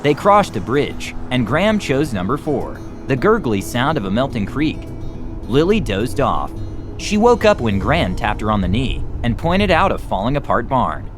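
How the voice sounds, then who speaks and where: A man reads a children's story as narrator, with a very lively, expressive melody to his mid-pitched voice. Music plays clearly behind the reading. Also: normal speed. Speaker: storytime reader, music club teacher.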